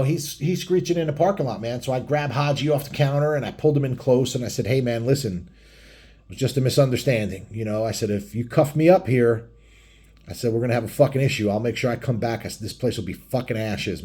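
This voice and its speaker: A man's voice: mid-range voice, fast talker, mellow, breathy edge. A middle-aged man with a mid-range voice spins a story for his vlog. He talks casually and rambles as he goes. His wording is blunt, crude and thick with profanity. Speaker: biker vlogger telling stories.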